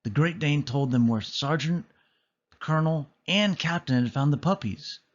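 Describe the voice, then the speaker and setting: A man reading a children's story aloud as storyteller, with expressive narration. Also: on the high side, normal speed, lo-fi sound.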